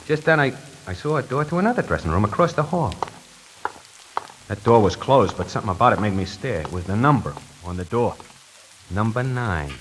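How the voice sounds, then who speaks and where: A man's voice: medium pitch, a little hiss or hum, lightly acted, rough recording. A man playing a jittery New salesman. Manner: breathless storytelling, swings from hushed to frantic. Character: nervous talkative man, tie salesman. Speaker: radio actor narrating in character. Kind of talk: 1940s radio acting.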